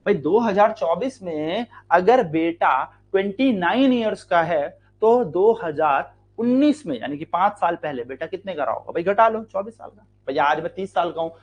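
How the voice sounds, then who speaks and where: A man's voice: sits high, expressive, moderate pace, hardly any pauses, highs cut off. A man with an Indian accent teaching an exam-prep maths lesson, explaining step by step and asking his students questions he then answers himself. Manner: repeats key lines and stretches words out to stress them.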